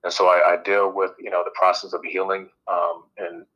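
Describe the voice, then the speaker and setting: A man's voice: low voice, level tone, a little fast, sharp tone, rough recording. A man from Connecticut and Northern Virginia, an author guest answering interview questions hesitantly with many fillers. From Stamford, Connecticut and Northern Virginia. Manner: hesitant, many ums and you-knows.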